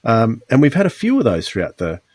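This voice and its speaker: A man's voice: medium pitch, huge pitch swings, a little fast, a little mellow, dry recording. A man hosting a podcast in a casual, conversational style. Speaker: podcast host.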